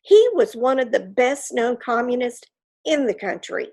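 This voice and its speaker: A woman's voice: on the high side, lively intonation, unhurried, vocal fry, darkish tone, exaggerated voice. A woman playing a proud, gossipy 1940s Hollywood stage mother in a theatrical monologue, chatty and self-satisfied, with confiding asides. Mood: indignant, scornful. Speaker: actor in a staged reading.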